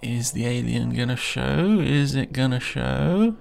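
A man giving casual, musing commentary while watching a TV episode, often pausing mid-thought. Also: medium pitch, expressive, creaky, darkish tone, soft background music. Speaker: podcast commentator.

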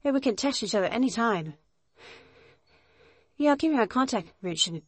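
A woman voicing a sweet, friendly schoolgirl chess partner in an anime visual novel, gentle and encouraging. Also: a little high, lively intonation, brisk, dry recording. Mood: affectionate.

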